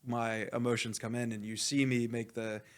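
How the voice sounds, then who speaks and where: Male coach, animated and outspoken, rambling candidly in a casual interview, mid-range voice. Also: medium pitch, normal speed. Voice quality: loud voice. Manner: animated, rambling, heavy use of "like"; blunt, swears freely. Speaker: esports coach being interviewed.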